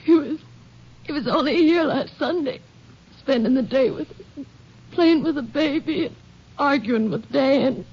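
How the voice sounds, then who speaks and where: An actress playing a fragile, bereaved young rural wife in melodramatic old radio style, breathy, halting and emotional. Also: female voice, sits high, darkish tone. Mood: grieving, tearful. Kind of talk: 1940s-50s melodramatic radio acting. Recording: very muffled old radio recording.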